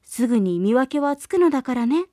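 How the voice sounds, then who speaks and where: A woman voicing a caring mother goat who gently and earnestly warns her kids. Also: high voice, very animated, a little fast, dry recording, studio quality. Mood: warning. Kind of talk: storybook reading.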